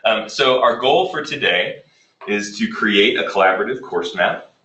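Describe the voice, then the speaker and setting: A man leading a workshop in a relaxed, conversational way, a little raspy as he gets over a cold. His voice sits very low yet sounds bright and forceful. He is heard from a distance in an echoey room. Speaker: workshop presenter, instructional design manager. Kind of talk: workshop presentation. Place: classroom or meeting room.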